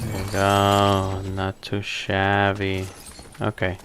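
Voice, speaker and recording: Streamer, male, lowish voice, slight accent, loose rambling live commentary, faint game sound behind. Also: slow, noisy background. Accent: non-native English accent. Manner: relaxed, rambling with frequent restarts. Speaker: tech streamer.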